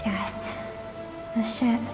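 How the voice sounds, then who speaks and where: A woman voicing a gentle, wistful guide in a video game, telling memories in a reflective storytelling way with an echoing reverb. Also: drawn-out words, in a whisper, over loud music. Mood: nostalgic, tender. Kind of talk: dramatic game narration.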